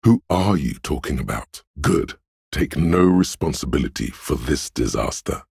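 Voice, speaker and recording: Game role: a slick hustler living in someone's head; extremely low, husky, knowing and coaxing. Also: male voice, expressive, measured pace, a little mellow, no room sound, studio quality, exaggerated voice. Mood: dry reproach. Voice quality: very deep, gravelly, husky. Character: inner voice of slick hustling vanity, suave money-minded male. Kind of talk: video game voice acting, second-person inner monologue.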